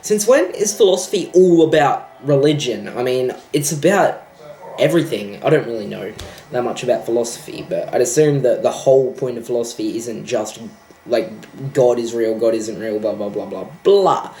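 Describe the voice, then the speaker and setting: A man's voice: medium pitch, moderate pace, dark tone, slight background noise. A young man ranting about a film in a sarcastic, profane, exasperated way. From Australia. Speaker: vlogger. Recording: echoey room.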